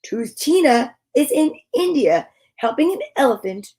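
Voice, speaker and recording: Woman playing male storybook rabbit, haughty, huffy, drawn-out emphasis, high swooping voice. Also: very animated, warm tone, heavily acted. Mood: formal.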